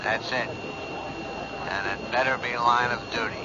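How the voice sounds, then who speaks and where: A man playing a gruff, irritable police captain with dry sarcasm in a 1970s radio drama. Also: medium pitch, fairly even intonation, normal speed, crowd noise, close to an everyday voice. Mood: grumpy, sarcastic. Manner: gruff, curt, dryly sarcastic. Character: gruff, skeptical, irritable male police captain, older boss. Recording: noisy, dull 1970s radio recording.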